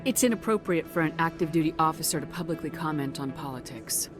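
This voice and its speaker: An actress playing a principled female military commander in a video game, speaking in a firm, measured, direct way. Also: sits low, expressive, music in the background. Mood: composed, diplomatic. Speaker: player character. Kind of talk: video game dramatic voice acting.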